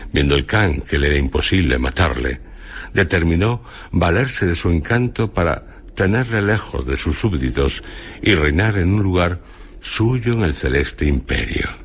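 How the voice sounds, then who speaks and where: An actor playing an ancient, venerable hermit sage near death, speaking solemn, grandiloquent Castilian Spanish in a radio drama. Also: male voice, exaggerated voice. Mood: solemn, weary. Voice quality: deep, husky. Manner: slow, grandiloquent, declamatory. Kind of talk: Spanish radio theatre acting.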